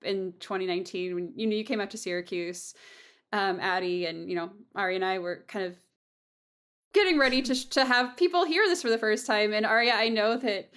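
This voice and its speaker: A woman's voice: mid-range voice, fairly quick, fairly bright tone, smooth. A woman hosting a podcast conversation in a casual, hesitant and rambling way with a giggly streak. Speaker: audio drama creator and host.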